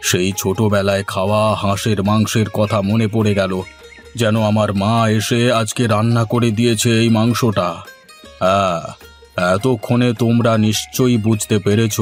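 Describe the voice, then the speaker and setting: A man voicing a commanding village headman in a moral-story cartoon, speaking deliberately and with authority. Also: fairly low pitch, flat delivery, soft background music, close to an everyday voice. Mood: delighted. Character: authoritative village headman who loves good food.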